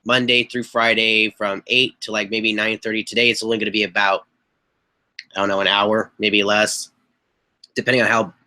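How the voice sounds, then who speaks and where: A young man talking into a webcam from a small bedroom, his voice hoarse and a bit stuffy from a cold. The tone stays bright in spite of the rasp, and he rambles casually with fillers and throat clearing. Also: lowish voice, level tone, normal speed. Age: young adult. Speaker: live chat host. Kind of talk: casual live-stream chat. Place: small bedroom with posters on the walls. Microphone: webcam mic at desk distance.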